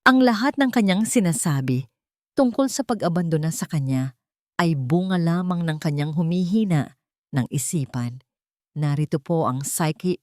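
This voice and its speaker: A slick male attorney voiced by a woman narrator in an audio story. He is formal and smooth, with put-on sympathy. The voice sits low, recorded dry. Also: darkish tone, no room sound. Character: slick male defense lawyer with fake sympathy.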